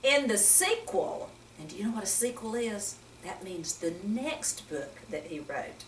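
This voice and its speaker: An older woman hosts a children's storytime with an unhurried, very expressive delivery. She speaks like a gentle teacher, often putting questions to her young listeners. The sound is echoey and picked up from some distance. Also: mid-range voice, slight background noise. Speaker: children's story reader and host. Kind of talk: storytime talk to young children with Bible lesson. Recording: roomy echo, distant microphone.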